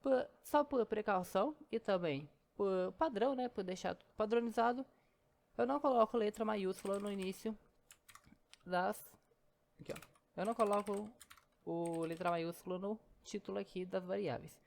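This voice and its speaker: A woman's voice: mid-range voice, normal speed, gravelly, darkish tone. A woman teaching programming in a casual screen-recorded lesson, chatty and step by step, often saying "ó" and "né".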